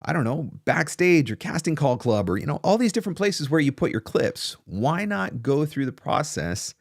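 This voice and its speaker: A man's voice: a little high, sing-song, normal speed, vocal fry, no echo. A man in his forties hosting a casual livestream of advice, talking emphatically and repeating phrases for stress, close on a studio microphone. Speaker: host of a livestream on voiceover business. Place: small indoor home studio. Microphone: large studio microphone close in front.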